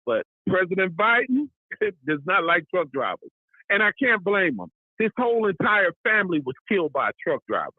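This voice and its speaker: An American man comes through a thin, radio-like line with a high voice. He argues casually but emphatically, often saying a phrase twice to make it land. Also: very animated, normal speed, no echo. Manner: emphatic, repeats himself. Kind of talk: casual political discussion. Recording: muffled narrow-band radio-like line.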